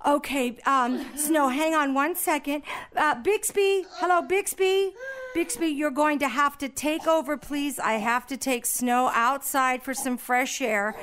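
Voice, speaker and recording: Woman as a fussy radio host, high and unhurried. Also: a bit bright. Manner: prim, fussy. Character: genteel female radio show host. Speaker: show host. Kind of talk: comic radio play acting.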